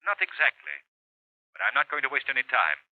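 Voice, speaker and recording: An actor playing a mysterious, polite crime fighter in a 1930s radio drama, speaking in a formal, measured, knowing way on an old muffled recording. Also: male voice, medium pitch, huge pitch swings, no room sound. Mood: confident, knowing. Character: mysterious male crime fighter, polite, quietly self-assured.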